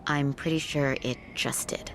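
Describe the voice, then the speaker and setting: Low-voiced, solemn mystic mother. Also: measured pace, slight background noise. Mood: ominous, dry. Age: middle-aged. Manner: solemn, deadpan, resigned. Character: grave, fatalistic mother, a seer who hears voices. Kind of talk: video game cutscene dialogue.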